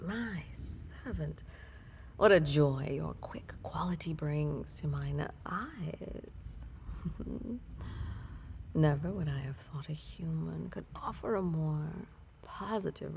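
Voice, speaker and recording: A woman playing a cunning, disdainful vampire mistress in an intimate audio drama, with haughty archaic phrasing and a breathy, whispery delivery. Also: low-pitched, lively intonation, a little mellow, a little hiss or hum, exaggerated voice. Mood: fond, haughty. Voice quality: breathy, whispery, with creaky edge. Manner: haughty, archaic, theatrical phrasing with lingering pauses. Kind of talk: intimate scripted roleplay audio drama monologue.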